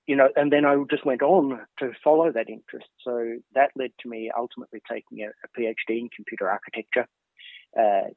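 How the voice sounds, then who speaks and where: A man's voice: mid-range voice, no room sound. An Australian man in his fifties, a professor giving thoughtful interview answers with many ums and restarts. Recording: dry thin phone-like line.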